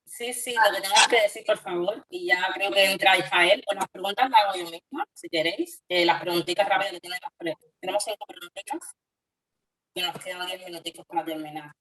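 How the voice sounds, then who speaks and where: A woman's voice: on the low side, brisk, a bit bright, poor audio. A woman moderating an online panel, politely apologizing and using diminutives as she keeps time.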